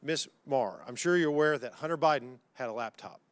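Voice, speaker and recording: A man's voice: mid-range voice, fairly quick. A man speaking as a congressman at a hearing, questioning witnesses in a pointed, combative and folksy way. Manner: folksy, combative, uses y'all.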